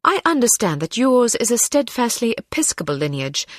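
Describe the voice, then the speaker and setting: A woman's voice: fairly high pitch, big pitch swings, normal speed, dry recording. An actress playing a poised woman who questions politely with dry, incredulous wit in a comic video game. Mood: politely probing. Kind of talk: comic game dialogue, interviewing.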